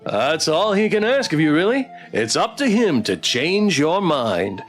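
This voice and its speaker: A man plays a theatrical game dating-show host, pitched high and swinging widely. The delivery is showy and teasing, stretching key words for emphasis. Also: very animated, unhurried, faint music behind. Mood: playful teasing. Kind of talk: visual novel character read-aloud.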